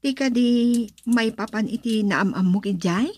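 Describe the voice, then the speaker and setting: A woman's voice: on the high side, a little slow, darkish tone, husky. A woman voicing a sweet, respectful young woman in love in an Ilocano radio drama, with an Ilocano Filipino accent. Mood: sincere, smitten. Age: young adult.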